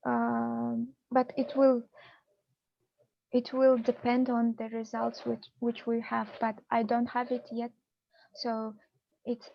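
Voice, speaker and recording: A young Kazakh woman speaking English with a Kazakh accent, pausing often to find words as she answers questions on a video call. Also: on the high side, no room sound, lo-fi sound. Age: young adult. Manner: halting, searching for English words. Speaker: PhD student presenter.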